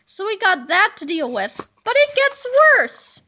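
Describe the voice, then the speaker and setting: A woman reading a children's comic book aloud in a playful, animated way, slipping into silly voices. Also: extremely high pitch, a little slow. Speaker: reader.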